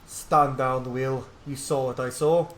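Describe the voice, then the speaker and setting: A man's voice: mid-range voice, steady tone, takes it slowly. A man hosting a role-play gaming video, giving casual commentary and replying in character. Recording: roomy mic, faint background noise. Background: video game audio.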